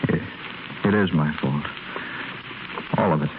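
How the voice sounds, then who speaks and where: An actor playing an earnest, troubled young man who speaks haltingly in a 1930s radio drama. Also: a bass voice. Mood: anguished, remorseful. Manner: halting, stammering. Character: guilt-ridden, earnest wealthy young man. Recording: muffled, noisy 1930s radio recording.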